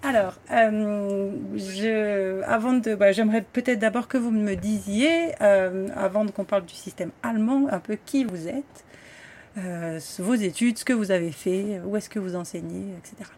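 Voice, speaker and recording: A woman interviewer with a mid-pitched, ordinary voice asks her questions hesitantly, searching for her words. There is a faint noise in the background. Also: moderate pace, darkish tone.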